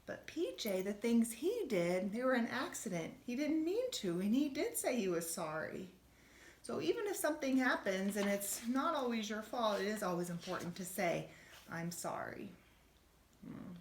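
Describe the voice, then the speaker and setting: A woman reading a children's storybook aloud like a teacher, expressively, with gentle questions to her young listeners. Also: fairly high pitch, sing-song, moderate pace. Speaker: schoolteacher reading a bedtime story. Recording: roomy, echoey room sound.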